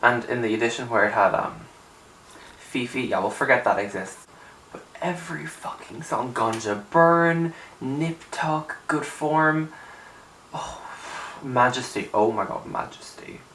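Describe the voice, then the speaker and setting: A young man speaks with a raspy, just-out-of-bed voice, rambling and emphatic as he gives his opinions. He sits back from the camera in a small room, so the sound is roomy and a little distant. A faint hiss runs underneath. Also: medium pitch, expressive, measured pace, fairly bright tone. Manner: chatty, rambling, emphatic with filler "like". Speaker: music vlogger. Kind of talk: casual opinion chat to camera. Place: small untidy room indoors.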